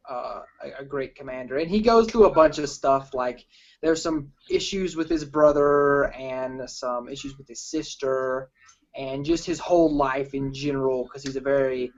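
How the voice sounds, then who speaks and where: A man hosting a casual group film discussion, talking in a halting, rambling way. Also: mid-range voice. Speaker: film review host. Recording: dull lo-fi sound.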